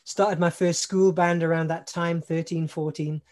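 A man's voice: on the high side, moderate pace. A man from England, a singer-songwriter guest, chatting casually from home with lots of ums and easy laughter. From Newcastle upon Tyne, England. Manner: relaxed, laughs often, many ums and restarts. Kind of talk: casual interview chat.

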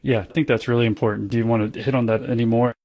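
A man's voice: mid-range voice, fairly even intonation, rapid-fire, darkish tone, no echo, clean studio sound. A man hosting a podcast interview, asking casual conversational questions. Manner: conversational questions and read ad spot. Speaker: podcast host.

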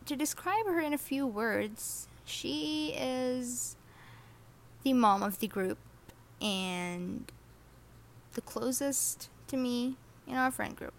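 A teenage girl from Saudi Arabia hosting her first podcast episode, chatty, self-deprecating and a little nervous. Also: fairly high pitch. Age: teens. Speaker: podcast host. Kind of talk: casual personal podcast monologue.

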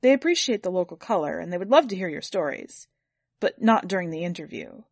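A woman's voice: lowish voice, expressive, brisk, darkish tone, dry recording. A woman voicing an embarrassed, formal male translator for a film crew in a story. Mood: uneasy, polite.

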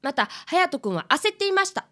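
A woman's voice: extremely high pitch, big pitch swings, a little fast, pushed and bright, full character voice. A woman in a radio drama voicing an earnest fifth-grade boy detective who reports politely and formally. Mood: earnest, serious.

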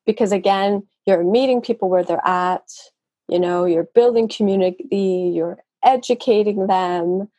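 A woman's voice: mid-range voice, unhurried, dark tone, no echo, muffled recording. A woman, guest on a podcast interview over a video call, talking conversationally with fillers and restarts. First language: English. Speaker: guest, nutrition consultant.

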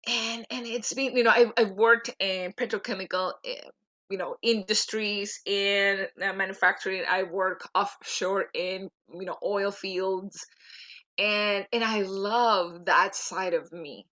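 Bright-voiced Venezuelan woman in a lo-fi interview. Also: mid-range voice, fairly even intonation, unhurried. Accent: Venezuelan Spanish. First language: Spanish. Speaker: podcast guest, LinkedIn coach. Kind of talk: conversational interview answers.

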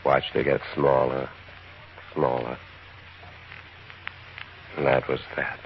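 A man playing a lovesick American narrator in an old radio drama, speaking quietly and wistfully. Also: rumbling low, monotone, slow pace, background noise, full character voice. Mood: hushed longing. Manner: quiet, wistful, drops to near whisper. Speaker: first-person narrator of a radio play. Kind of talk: 1940s-50s radio drama acting. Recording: dull old radio broadcast with music.

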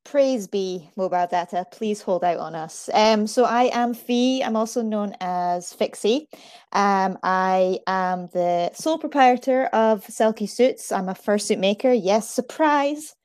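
A woman's voice: medium pitch, a little slow, darkish tone, clean tone, no room sound, clean studio sound. A Scottish woman in her thirties chatting casually about herself as a guest, with a self-deprecating, talkative manner. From Aberdeen, Scotland. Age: 30s. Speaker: podcast guest, fursuit maker. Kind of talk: casual chat, telling own story.

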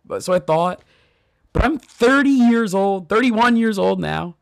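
A man's voice: sits high, lively intonation, measured pace, creaky, dry recording, clean studio sound. A man in his thirties giving an earnest, conversational devotional talk on scripture, speaking straight to listeners and telling personal stories. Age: 30s. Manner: addresses listeners directly.

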